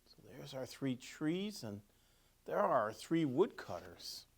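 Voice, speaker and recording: A man reading a children's story aloud as a clergyman, with deliberate, emphatic phrasing and pauses. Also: medium pitch, sing-song, vocal fry. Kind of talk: expressive storybook read-aloud with asides on pictures.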